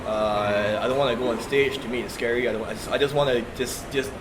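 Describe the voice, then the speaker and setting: A man in his thirties with a Singaporean English accent, a pro wrestler chatting as a guest, telling animated stories with repeated words and frequent laughs. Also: mid-range voice, quick pace, noisy background. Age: 30s. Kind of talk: casual interview chat.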